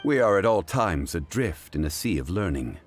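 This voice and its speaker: Courteous game sage: low, measured and eloquent, composed yet richly inflected. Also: male voice, very animated, faint music behind. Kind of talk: video game character acting.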